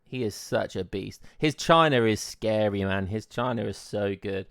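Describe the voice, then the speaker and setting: A man's voice: lowish voice, moderate pace, vocal fry, a bit bright, smooth, dry recording, studio quality. A man from England, casting a game match, chatting in an easygoing way and laughing often. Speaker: esports caster. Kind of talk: casual pre-show chat.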